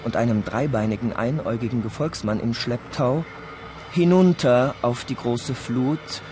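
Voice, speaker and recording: A man narrating a German radio play as a dramatic storyteller with deliberate delivery. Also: mid-range voice, darkish tone, lightly acted. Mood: grave, dramatic. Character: dramatic storyteller of a dreamlike Hollywood disaster.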